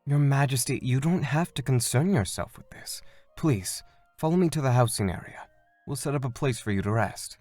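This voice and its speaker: A man voicing a courteous, steady monk guardian in an animated fan dub, formal and composed. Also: medium pitch, moderate pace, soft background music, natural voice.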